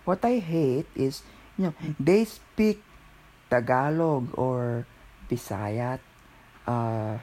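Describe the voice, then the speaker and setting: A man's voice: mid-range voice, expressive, slow pace, pauses often, dark tone, a little hiss or hum, low-quality recording. A man chatting casually and jokingly, rambling along in a put-on Filipino accent. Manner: jokey, often breaking into giggles.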